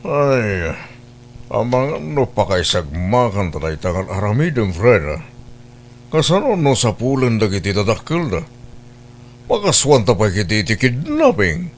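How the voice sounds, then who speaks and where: Man acting an animated, practical Ilocano husband. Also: lowish voice, unhurried, slight background noise. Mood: doubtful. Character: practical husband and doubtful new adoptive father. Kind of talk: Ilocano audio drama acting.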